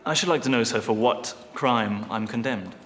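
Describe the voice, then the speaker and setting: An actor playing a proud, courteous Venetian gentleman who speaks formally and with self-assurance in a period drama. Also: medium pitch, normal speed, slight background noise, close to an everyday voice. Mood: indignant, polite. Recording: long reverberant hall.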